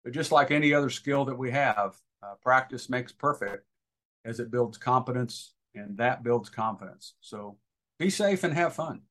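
A man's voice: mid-range voice, animated, normal speed, fairly bright tone. Man giving informal, practical instruction. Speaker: instructor, guest expert on boating radio.

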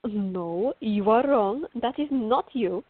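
A woman's voice: mid-range voice, lively intonation, slow pace, dry recording. A woman playing a fiery, stubborn, teasing young artist in a radio drama, with an emphatic, wry way of contradicting. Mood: stubborn, sarcastic. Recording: thin, phone-like band-limited audio.

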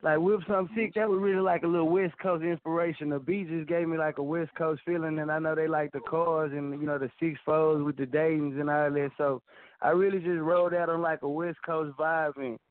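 A man's voice: a little high, steady tone, brisk, dry recording. A young man from Dallas, a rapper being interviewed, talking loosely with lots of "like" and "you know". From Dallas, Texas. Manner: laid-back, loose, full of "like" and "you know". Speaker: rapper guest. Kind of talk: casual interview talk. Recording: tinny phone-line sound.

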